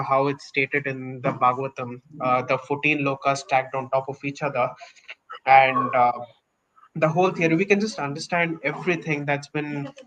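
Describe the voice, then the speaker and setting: Earnest young Indian man, faint hiss. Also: mid-range voice. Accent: Indian English. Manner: earnest and opinionated, explains with examples. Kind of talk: casual podcast discussion.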